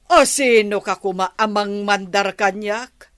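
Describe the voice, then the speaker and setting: A woman's voice: medium pitch, slow, a bit bright, no room sound, clean studio sound. A woman with an Ilocano Filipino accent playing a haughty, dismissive older mistress of the house in a radio drama.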